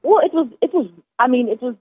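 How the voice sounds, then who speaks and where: A South African woman chef, a guest chatting casually in an interview, emphatic and quick to laugh. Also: sits high, lively intonation, slightly rough, no room sound. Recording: dry phone-like radio line.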